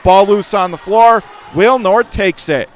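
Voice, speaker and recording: A man doing live radio play-by-play of a basketball game in clipped running phrases, with excitable calls. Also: high-pitched, measured pace, shouting, some chatter behind. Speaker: play-by-play commentator.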